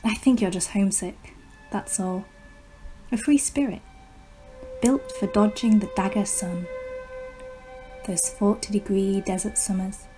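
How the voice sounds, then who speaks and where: A woman performing a poetic radio-drama monologue as a sinister, obsessive plant whisperer, speaking in a hushed, deliberate, dramatic way with lingering pauses. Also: medium pitch, natural voice, rough recording. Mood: tender, eerie, then brooding.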